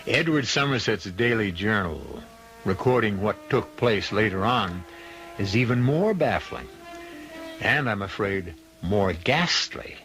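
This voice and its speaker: A man's voice: fairly low pitch, expressive, unhurried, a bit bright, faint music behind, poor audio. A man hosting and narrating a suspense radio drama in a wry, macabre, theatrical manner, fond of puns. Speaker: host and narrator of a mystery radio show.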